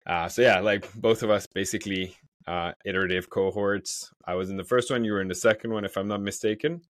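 Host: man, lowish voice, dry close sound, chatty interviewer. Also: fairly even intonation, quick pace, no room sound. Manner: friendly, chatty, asks leading questions. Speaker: podcast host. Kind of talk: casual conversational interview.

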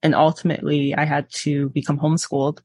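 A woman in her twenties sharing personal experience candidly as an interview guest, pausing to choose her words. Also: sits low, little pitch movement, a little mellow, slightly rough, dry recording. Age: 20s.

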